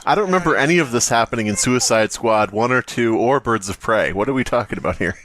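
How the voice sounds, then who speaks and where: A man's voice: medium pitch, animated, fast, a bit bright. A man co-hosting a podcast in casual, crude, exclamatory banter. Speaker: podcast co-host. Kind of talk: casual joking chat with story read-alouds. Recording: noticeable background noise.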